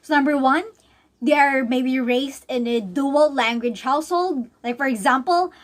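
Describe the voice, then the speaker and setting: A young Filipino woman whose first language is Bisaya, answering a pre-test question in English in a halting way, often restarting words and reading from notes. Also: sits high. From Philippines. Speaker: student. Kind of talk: recorded pre-test answer, half read.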